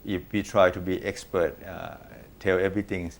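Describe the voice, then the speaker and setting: A man with a Thai accent giving measured advice as a coach in an interview. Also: deep voice, flat delivery, vocal fry. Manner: measured, advisory, short repeated okays. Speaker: management coach guest. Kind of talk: interview answers.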